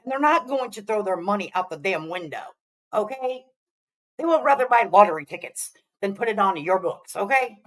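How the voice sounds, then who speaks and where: A woman's voice: mid-range voice, lively intonation, normal speed. A woman giving blunt, mocking reaction commentary, scolding the person she is responding to.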